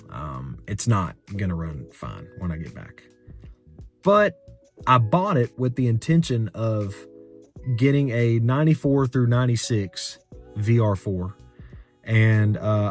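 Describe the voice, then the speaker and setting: A young man in a rambling, unscripted story-time vlog about his car, talking in a monotone voice with many fillers. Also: lowish voice. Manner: rambling, filler-heavy, conversational. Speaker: car vlogger.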